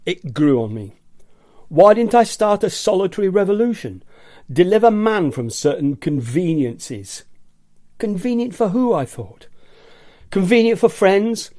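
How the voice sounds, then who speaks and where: A man's voice: sits high, very animated, unhurried, a little mellow, no room sound. Man acting an agitated eccentric rebel, dry close sound. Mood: exasperated, sardonic. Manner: theatrical, savouring words, mimicking nagging voices. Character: agitated, eccentric rebel office worker fed up with gadgets. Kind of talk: radio play monologue reading.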